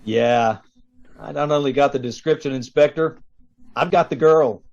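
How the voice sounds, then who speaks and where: A man's voice: medium pitch, moderate pace, vocal fry, darkish tone, close to an everyday voice. Man acting a laconic cop, muffled sound. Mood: matter-of-fact. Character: matter-of-fact police officer on the telephone, adult man. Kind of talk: 1940s radio detective drama acting. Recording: dull, lo-fi video-call audio.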